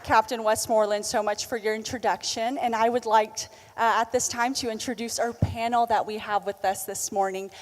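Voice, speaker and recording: A woman's voice: a little high, level tone, normal speed, few pauses. A woman moderating a conference panel, introducing each guest in a friendly way with a few fillers. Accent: American Southern. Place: conference hall. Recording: long reverb of a large hall.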